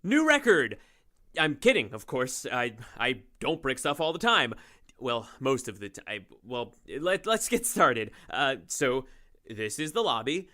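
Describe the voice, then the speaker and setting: A man's voice: mid-range voice, very animated, a little slow, bright, forceful tone. A man playing an awkward, eager, bumbling young lab assistant in an audio drama, stammering and correcting himself. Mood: nervous joking. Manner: flustered, stammering, self-correcting.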